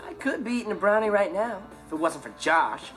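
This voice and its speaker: A man's voice: high voice, a little fast, over music. An actor playing a cocky, mischievous, self-centred teenage boy telling slangy stories in a sitcom monologue. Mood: impatient. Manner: animated, offhand slangy storytelling.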